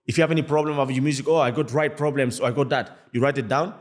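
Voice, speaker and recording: A young man from Mali talks fast and keeps up a lively, bouncing delivery. He speaks English as a second language, with simple phrasing. He is a musician telling stories as a podcast guest. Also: medium pitch. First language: a Malian language. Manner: fast, animated talk in non-native English, acts out quoted people. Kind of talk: casual podcast chat.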